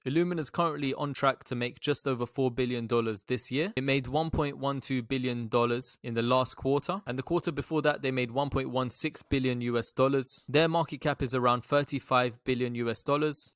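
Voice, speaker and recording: Young guy doing casual stock explainer, talks pretty quick, close dry mic. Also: mid-range voice, fairly bright tone, no echo. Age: young adult. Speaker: stock analysis YouTuber. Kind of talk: informal explanatory commentary over slides. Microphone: close desktop microphone.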